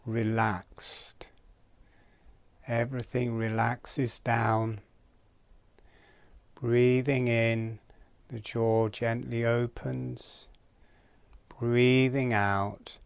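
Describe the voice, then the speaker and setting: Male relaxation instructor, very slow pacing, calm and gentle, short spaced phrases, dry close sound. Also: medium pitch, vocal fry, no room sound. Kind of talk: guided relaxation exercise instruction.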